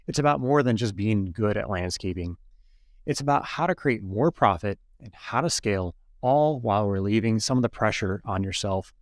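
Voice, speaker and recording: A man's voice: on the low side, no echo, clean studio sound. A man hosting a podcast in an enthusiastic, upbeat way, both chatting and reading promos. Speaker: podcast host.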